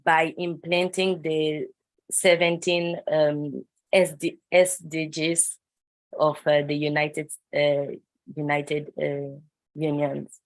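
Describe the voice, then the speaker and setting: A young woman with a Congolese accent introduces herself on an online call in English as a second language, halting as she searches for words. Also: deep voice, level tone, no room sound. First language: not English, speaks it as a second language. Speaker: youth organization ambassador introducing herself. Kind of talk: unscripted self-introduction.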